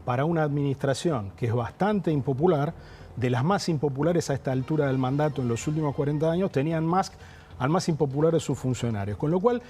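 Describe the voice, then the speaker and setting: A man with an Argentine Spanish accent gives political analysis as a guest in a TV interview, explaining things in a measured, formal way. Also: fairly high pitch, dark tone, a little hiss or hum.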